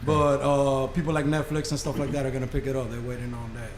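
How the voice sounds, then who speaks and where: Fast-talking slangy man in echoey room. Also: medium pitch, little pitch movement. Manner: blunt, profane, slangy. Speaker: podcast co-host. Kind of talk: casual street-slang chat. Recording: echoey room with faint noise.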